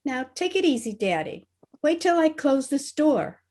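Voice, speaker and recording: Woman playing a high-voiced eager teenage girl. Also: sing-song, normal speed, a little mellow. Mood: coaxing, earnest. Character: eager teenage schoolgirl, the principal's coaxing daughter. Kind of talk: old-time radio comedy acting.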